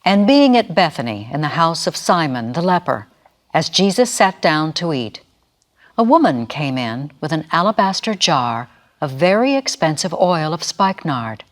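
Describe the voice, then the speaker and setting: Woman, very low pitch, solemn, deliberate, dramatic scripture narration. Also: sing-song. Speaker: voice actor reading scripture.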